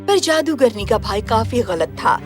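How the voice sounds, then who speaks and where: A woman's voice: a little high, very animated, measured pace. A woman narrator reading a scripted children's fairy tale in storytelling style. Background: music.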